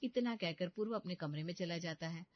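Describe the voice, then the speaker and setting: A woman's voice: lowish voice, a little fast, no echo. Woman storyteller, dry close sound. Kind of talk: scripted story narration. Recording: slightly dull, dry studio sound.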